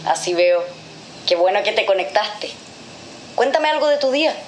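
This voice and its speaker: A woman's voice: medium pitch, expressive, moderate pace, a little hiss or hum, close to an everyday voice, low-quality recording. A woman playing a flirtatious, teasing video-chat companion in an audio drama, coy, playful and inquisitive.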